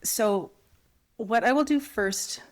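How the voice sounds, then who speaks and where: A woman vocal coach, a little hoarse after a cold, calmly guiding listeners through breathing exercises. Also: a little high, slow. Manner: calm, instructive, gently encouraging. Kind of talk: guided breathing lesson.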